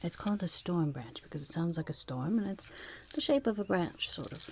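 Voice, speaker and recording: A woman voicing a scheming, chatty goblin market trader in a breathy, raspy whisper, as an ASMR roleplay with sly, coaxing sales patter. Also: expressive, a little fast, noisy background. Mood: playful, scheming. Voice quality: breathy, raspy whisper with creaky low murmurs.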